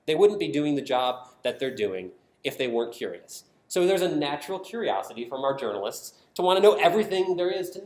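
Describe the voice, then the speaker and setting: An American man giving a conference presentation as a product designer, explaining things clearly and stressing key phrases. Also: fairly high pitch, darkish tone. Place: indoor conference room, speaking to an audience. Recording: roomy, echoing conference room sound.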